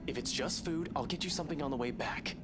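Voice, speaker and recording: A man's voice: fairly high pitch, fast talker, natural voice. A man playing a composed but curt young man, easily flustered, in a visual novel game. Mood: brisk. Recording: game music behind the voice.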